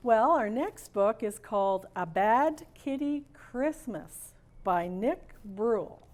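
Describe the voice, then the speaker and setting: A woman reading aloud expressively and deliberately as a storyteller in the role of Mrs. Claus, with bits of casual chat. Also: fairly high pitch, lively intonation, darkish tone.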